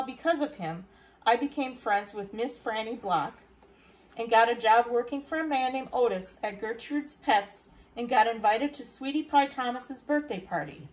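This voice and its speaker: Higher-pitched woman reading a storybook aloud. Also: rough recording. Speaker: library staff reader. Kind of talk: storybook read-aloud narration.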